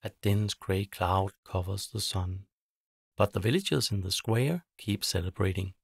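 A man's voice: low-pitched, a little slow, vocal fry, husky, no echo, studio quality. A man narrating a scripted children's bedtime story, telling it simply in short phrases.

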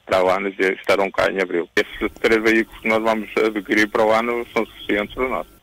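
A man with a European Portuguese accent giving a statement to radio as a fire commander, halting and repeating words. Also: on the low side, little pitch movement, fairly bright tone, dry recording, low-quality recording. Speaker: fire brigade commander being interviewed. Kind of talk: spoken statement to a radio reporter.

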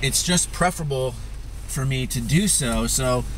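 A man in his thirties chatting casually while driving, in a relaxed, rambling way, filmed by a dashboard camera inside his car. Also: mid-range voice, unhurried. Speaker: vlogger. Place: inside a car on snowy streets. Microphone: camera mounted on dashboard. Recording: loud road noise inside a car. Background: loud car road noise.

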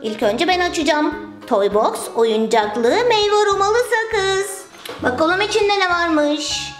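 A woman's voice: very high-pitched, lively intonation, takes it slowly, roomy sound, soft background music. A woman doing playful acted toy play for children in an exclaiming, sing-song manner. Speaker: children's toy show presenter.

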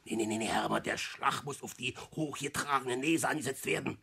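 An actor in a 1960s German radio play as a cocky, ageing small-time schemer, lecturing in slangy talk. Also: male voice, mid-range voice, fairly quick, creaky, sharp tone, husky, heavily acted. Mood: boastful. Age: older.

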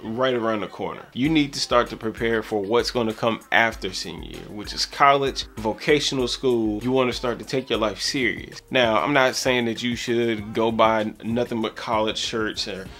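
Earnest man giving advice, low voice. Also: normal speed, hardly any pauses, a bit bright. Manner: earnest, emphatic, repeats words for stress. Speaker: vlogger. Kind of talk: casual advice vlog. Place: home office with bookshelves and green light. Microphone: camera mic at arm's length.

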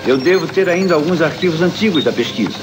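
A man's voice: fairly high pitch, animated, fast, natural voice. A man playing a kindly, earnest scientist who explains things, in a Brazilian cartoon dub. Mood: thoughtful. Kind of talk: dubbed cartoon acting.

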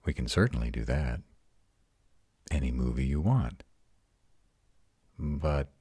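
A man playing a proud but tender vampire lover in an intimate comfort roleplay, speaking in a soothing, reassuring way with a breathy, husky voice. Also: rumbling low, a little mellow, dry recording, heavily acted. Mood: gently cautioning. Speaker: voice actor in a roleplay audio.

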